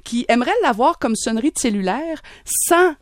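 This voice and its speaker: A Québécoise radio host in her forties, with a fairly high voice. She teases her guests playfully. The room sound is dry and close. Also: animated, moderate pace, dry recording. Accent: Québécois French. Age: 40s. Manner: playful, teasing. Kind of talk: casual radio interview.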